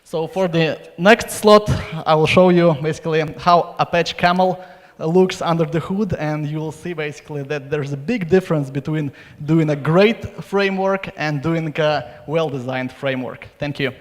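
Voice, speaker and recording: Young man, higher-pitched, in a reverberant hall. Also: moderate pace. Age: young adult. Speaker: conference speaker. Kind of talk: informal talk pitch to an audience. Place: stage in a large conference hall. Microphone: handheld microphone. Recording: reverberant hall, live PA sound.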